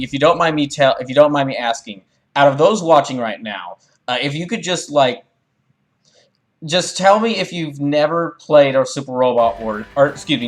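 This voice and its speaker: A man talking casually to his livestream chat as a game streamer, halting and rambling as he corrects himself. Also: a little high.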